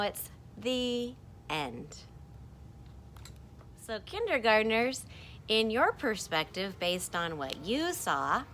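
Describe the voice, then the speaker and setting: Slow, animated woman teaching young children. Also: lowish voice, slight background noise. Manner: expressive, asks questions and pauses for answers. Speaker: kindergarten teacher. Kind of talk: read-aloud lesson to young children.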